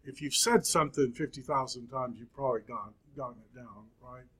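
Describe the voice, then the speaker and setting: A man in his fifties teaching a Bible class, speaking slowly with frequent pauses and fillers. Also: medium pitch, sharp tone, breathy edge. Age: 50s. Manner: slow, halting, many fillers and pauses. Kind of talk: classroom lecture.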